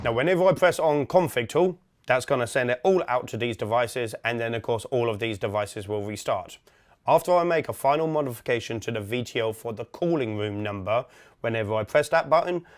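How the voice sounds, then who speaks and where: A man giving an informal, step-by-step tutorial, explaining methodically as an instructor. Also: medium pitch. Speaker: instructor, product demonstrator. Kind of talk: software setup tutorial, step-by-step walkthrough.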